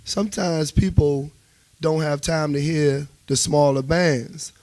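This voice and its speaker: A man's voice: on the high side, steady tone, slow, darkish tone, no room sound. Laid-back man chatting in a dry studio. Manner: informal, conversational, peppered with "you know". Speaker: interviewed go-go musician. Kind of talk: casual interview chat, reminiscing. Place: radio studio.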